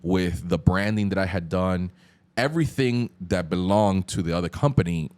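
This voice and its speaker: Miami-accented man, 30s, very low voice, loose slangy host. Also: moderate pace, darkish tone. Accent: Miami Cuban-influenced English. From Miami, USA. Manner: loose, slangy, lots of fillers. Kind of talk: casual podcast chat.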